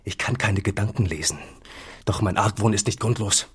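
A bitter, distrustful farmer in a radio play. His low voice is husky, and he declaims formal accusations against his household. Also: normal speed, fairly bright tone. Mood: grim suspicion. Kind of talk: German literary radio drama acting.

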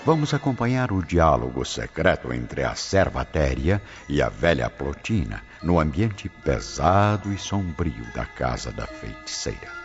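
A man with an extremely low, booming voice narrates a radio drama in a solemn, measured style. His intonation is lively and shaped. The audio is a bit muffled, with prominent music beneath. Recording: slightly muffled with loud background music.

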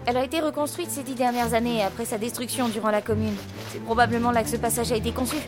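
Une femme jouant une jeune compagne d'enquête vive et pince-sans-rire dans une fiction audio, qui fait des remarques sèches et taquines. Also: female voice, on the high side, fairly even intonation, fast, lightly acted. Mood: wry. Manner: dry teasing remarks. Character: sharp, wry young woman companion. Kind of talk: French audio drama. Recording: music behind.

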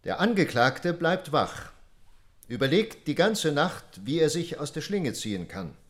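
An actor playing a stern, probing court prosecutor who lays out the case deliberately and insistently in a radio drama. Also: male voice, a little high, very animated. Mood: stern, accusing.

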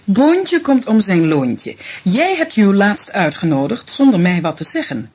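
A sharp-tongued, thrifty young wife in a radio play, played by a woman. She teases and scolds with a lively, wide-ranging voice. Her tone carries a slight huskiness. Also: mid-range voice, unhurried, breathy edge, exaggerated voice. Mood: teasing. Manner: teasing, scolding.

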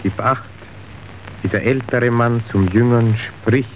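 A man's voice: mid-range voice, fairly even intonation, slow pace, dull audio, slight background noise, natural voice. An actor playing a worldly, contemptuous henchman in a radio drama, lecturing with scorn. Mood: knowing, didactic. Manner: didactic, scornful. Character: worldly, contemptuous henchman acting as cynical guide.

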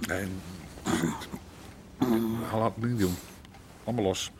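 An actor playing a gruff middle-aged Amsterdam underworld boss and father in a radio drama, blunt and grumbling. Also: fairly low pitch, fairly even intonation, slow, noisy background. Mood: evasive, grumbling. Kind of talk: naturalistic radio drama acting.

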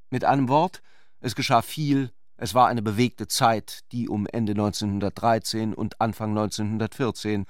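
A man's voice: fairly low pitch, no echo, clean studio sound. A man narrating a literary radio drama from a script.

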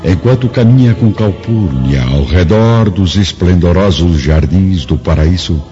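Um homem narrando uma radionovela com solenidade, em estilo grandioso e compassado. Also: male voice, sits low, dark tone. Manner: grandiose, measured. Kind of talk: solemn scripted radio drama narration. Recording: dull, echoey long reverb, music behind.

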